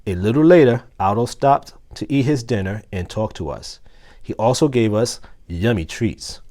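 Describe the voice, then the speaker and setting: A man reading a children's story aloud with expressive, deliberate phrasing. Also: on the low side. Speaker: assistant principal reading aloud.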